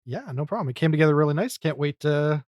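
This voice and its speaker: Higher-voiced man in casual podcast chat. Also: lively intonation, quick pace, a little mellow, no echo, studio quality. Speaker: podcast co-host.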